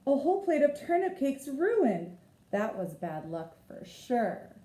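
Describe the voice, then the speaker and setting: A woman's voice: on the high side, huge pitch swings, takes it slowly, mellow, exaggerated voice. A woman voicing a clumsy, sleepy little girl who breaks into a house, with squealing exclamations. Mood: dismayed. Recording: roomy echo.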